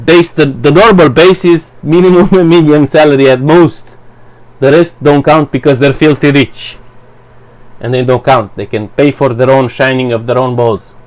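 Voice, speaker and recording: A man whose first language is Romanian, giving a blunt, profane, halting commentary for his channel. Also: medium pitch, fairly even intonation, poor audio. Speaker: vlogger. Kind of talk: rambling personal commentary.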